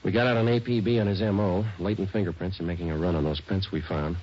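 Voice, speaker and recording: An actor playing a terse, matter-of-fact police sergeant in a 1940s radio drama, speaking in clipped, deadpan sentences. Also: male voice, low-pitched, fast talker, slight background noise, natural voice. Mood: businesslike. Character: terse, businesslike male police sergeant narrating his case. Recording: muffled vintage 1940s radio recording.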